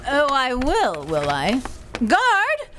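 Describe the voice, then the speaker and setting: A woman's voice: high voice, huge pitch swings, very slow, a bit bright, a little hiss or hum, full character voice. A woman voicing a proud, cunning young princess in a theatrical live reading. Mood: scheming.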